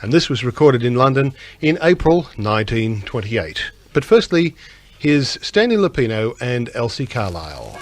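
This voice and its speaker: A man's voice: mid-range voice, big pitch swings, normal speed. A man co-hosting a radio show, bantering casually and introducing old records. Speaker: radio co-host. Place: community radio studio.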